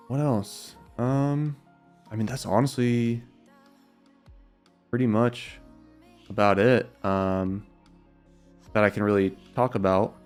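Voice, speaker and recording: A young man with a slightly raspy voice, casually answering viewer questions on a livestream in a rambling, filler-heavy way. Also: medium pitch, fairly even intonation, slow pace, long pauses, darkish tone, faint music behind. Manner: rambling, loose, many fillers and repeats.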